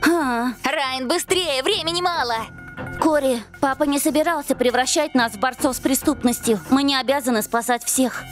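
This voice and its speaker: Eager young boy played by a woman, high voice, earnest, excitable, cartoon dub, faint music behind. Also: normal speed, close to an everyday voice. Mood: pleading. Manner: pleading, excitable.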